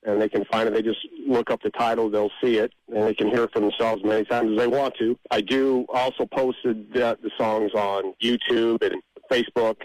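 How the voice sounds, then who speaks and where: A middle-aged man chatting casually as an interview guest, with a halting, rambling way of talking. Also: fairly low pitch, level tone, darkish tone, no echo, rough recording. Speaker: interview guest, amateur songwriter.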